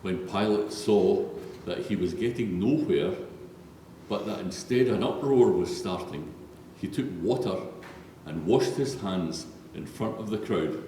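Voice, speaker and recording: A man with a low, mellow voice reads scripture solemnly as a narrator. He is heard from far off in a big church, with long, heavy reverb around every word. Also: measured pace. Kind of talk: solemn scripted Bible reading. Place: large reverberant church, read aloud. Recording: echoey church hall, distant, faint noise.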